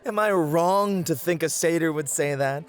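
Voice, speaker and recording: A man's voice: on the high side, normal speed. A man speaking in casual, playful banter as a player in an ASMR fantasy roleplay.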